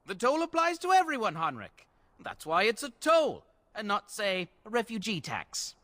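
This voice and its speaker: A smug fantasy-game highwayman chief. His high, bright voice swings widely, with sardonic, mock-polite courtesy over faint music. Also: huge pitch swings, normal speed, exaggerated voice. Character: smug, smooth-talking male bandit leader.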